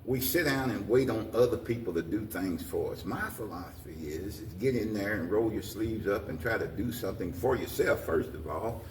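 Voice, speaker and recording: Low-voiced older American man, animated delivery. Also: moderate pace, few pauses. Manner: folksy, repetitive, exhorting. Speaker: guest, community organization president. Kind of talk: interview talk, plain-spoken encouragement. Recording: roomy, distant mic, faint noise.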